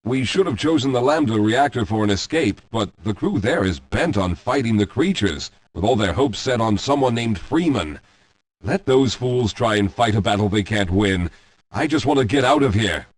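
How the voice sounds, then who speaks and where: A man's voice: lowish voice, expressive, normal speed, lightly acted. A man playing a formal, anxious scientist in echoey reverb. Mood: impatient, determined. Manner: formal, articulate, explanatory. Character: weary, guilt-ridden scientist, anxious and self-critical. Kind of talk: scripted video game character dialogue. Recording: echoey hall with long reverb.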